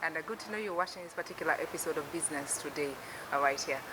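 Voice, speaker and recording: A woman's voice: sits low, very fast. A woman hosting a TV business interview show, chatty and upbeat, with many fillers. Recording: noisy recording with steady hiss.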